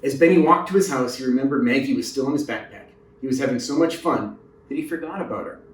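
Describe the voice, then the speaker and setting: A man's voice: mid-range voice, fast, a little hiss or hum. A man reading a children's story aloud as a narrator, recorded in a roomy space away from the mic. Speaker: storybook reader. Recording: roomy echo, distant mic.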